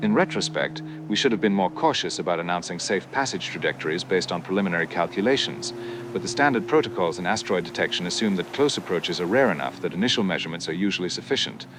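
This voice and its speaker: A grave, academic scientist acted by a man speaks in formal, technical phrases as a news interviewee in an audio drama. The delivery is rapid and low-pitched, with clear city-like noise behind it. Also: expressive. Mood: grave, regretful. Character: grave male scientist explaining a catastrophic asteroid miscalculation. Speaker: expert interviewee on a TV news broadcast.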